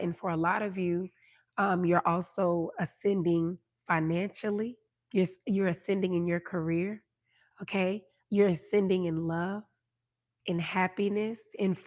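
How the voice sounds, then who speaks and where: A woman with a hoarse voice giving a tarot reading on a livestream, speaking soothingly and checking in with "right?" and "okay?" as she goes. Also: on the low side, a little mellow. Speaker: tarot reader.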